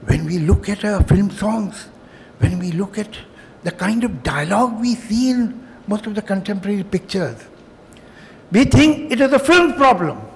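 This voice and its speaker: An older man whose first language is Urdu gives a reflective, emphatic public speech at a festival, pausing often and adding wry humor. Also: high voice, expressive, slight background noise. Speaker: festival speaker. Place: hotel hall. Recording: long hall reverb.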